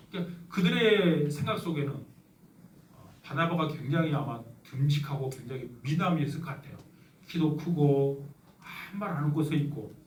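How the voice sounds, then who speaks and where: Jeolla-accented pastor guy preaching, storytelling and joking, kinda high voice, echoey church from the back. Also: recorded from a distance, slight background noise. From Yeosu, South Korea. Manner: conversational storytelling with light humor. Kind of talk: sermon. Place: church sanctuary. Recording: echoey church hall from afar.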